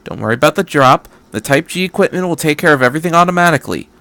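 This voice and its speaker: A man's voice: fairly high pitch, expressive, racing, pushed and bright, no room sound. Guy reading a no-nonsense military lady in a story, bossy and determined, dry close mic. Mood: brisk, commanding. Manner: commanding, matter-of-fact. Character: brisk, resolute female military officer.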